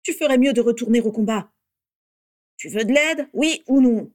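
Woman doing a furious young witch for an audiobook, high n loud, super scornful and snappy. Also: moderate pace, lightly acted. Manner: explosive, snapping, mocking.

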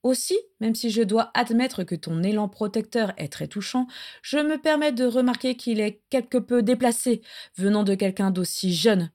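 Woman doing a smooth evil overlord guy, soft n slow, fake polite, looks down on everyone, fantasy story. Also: a little high, animated, natural voice. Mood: mock courtesy. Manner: soft, caressing, slow, falsely courteous. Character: male dark lord, silkily menacing, condescending.

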